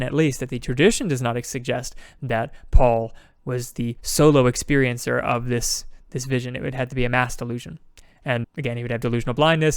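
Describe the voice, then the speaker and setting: A podcast co-host who talks fast and thinks aloud, backing up and restarting his points. His voice is smooth and clear, and it sits dry and close to the mic with no room sound. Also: medium pitch, no room sound. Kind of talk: casual discussion.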